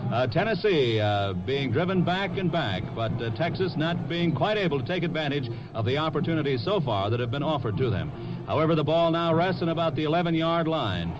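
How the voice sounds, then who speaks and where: An American man speaks as a 1950s radio sports announcer in measured, formal phrases. His voice is somewhat high, and the old broadcast recording is dull and heavily covered in noise. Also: animated. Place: outdoor football stadium.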